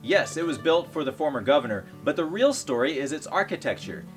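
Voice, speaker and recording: A man's voice: on the high side, sing-song, a little fast, faint music behind. A man reading scripted narration as the host of a local history tour series.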